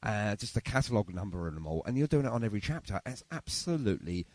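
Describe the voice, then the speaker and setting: A man's voice: lowish voice, very animated, fast talker, a little mellow, no room sound. A man hosting a casual interview show, chatty and effusive with his guest. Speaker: podcast and radio show host.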